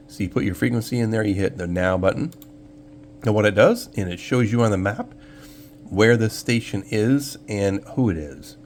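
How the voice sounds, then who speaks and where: A man casually hosting a screen walkthrough, explaining things step by step in an informal, easygoing way. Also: low voice. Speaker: host of a shortwave radio channel. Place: indoor room, filming a computer screen. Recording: clear steady hum and background noise.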